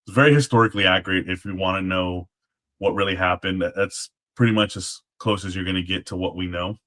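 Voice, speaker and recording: A man's voice: sits low, steady tone, quick pace, fairly bright tone. A man speaking as a guest, casually explaining history in an informal way, feeling his way through what he remembers. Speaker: guest, former Alamo guard.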